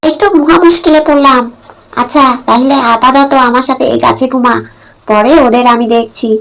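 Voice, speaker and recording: A high-voiced female tree spirit from a cartoon , acted by a woman. She is bossy and easily exasperated, handing out orders and scolding as she goes. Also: steady tone, moderate pace, creaky, a bit bright. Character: grumpy bossy female tree ghost with magic umbrella. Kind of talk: cartoon story voice acting.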